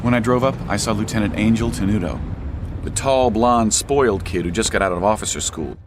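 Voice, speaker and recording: An actor playing a haunted, hard-bitten army sergeant who survives a zombie apocalypse, in an audio drama. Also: male voice, on the low side, fairly quick, background noise, close to an everyday voice. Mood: scornful. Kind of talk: audio drama narration and dialogue. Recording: loud music and car sounds behind.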